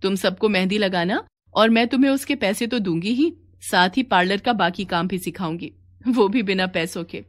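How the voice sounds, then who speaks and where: A woman's voice: mid-range voice, moderate pace, lightly acted. A woman voicing generous parlour owner. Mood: earnest. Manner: pleading then kind.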